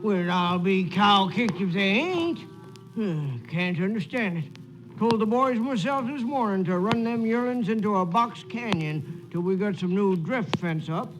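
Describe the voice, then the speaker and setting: A man's voice: high-pitched, lively intonation, unhurried, faint music behind, poor audio. An actor playing a folksy, loyal ranch foreman with a drawling cowboy dialect in a 1940s radio western. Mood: folksy, puzzled.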